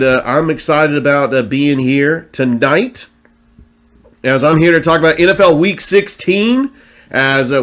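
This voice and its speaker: A man's voice: mid-range voice, fairly bright tone. A man hosting a sports talk show, speaking energetically and conversationally with fillers and restarts, his voice still recovering from having been lost.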